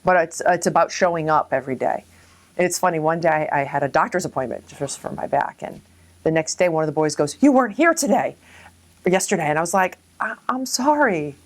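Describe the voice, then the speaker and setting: A woman's voice: deep voice, lively intonation, brisk. A woman reflecting on personal experiences in an interview, informally, acting out bits of remembered speech. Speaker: local resident interviewee.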